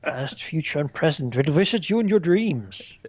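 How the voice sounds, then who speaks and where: A man in casual chat with friends on a voice call before a tabletop game, relaxed and joking. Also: a little high, moderate pace, husky, dry recording.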